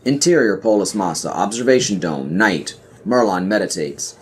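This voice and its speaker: A man's voice: sits low, lively intonation, normal speed. A man reading scripted scene directions as the narrator of a podcast audio play.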